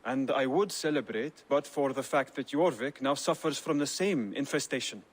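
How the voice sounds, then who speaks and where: An actor playing a courteous, wary scholar of a secret brotherhood in a video game, speaking formally and carefully. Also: male voice, mid-range voice, normal speed, breathy edge, lightly acted. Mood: guarded, courteous. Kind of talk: dramatic video game dialogue acting.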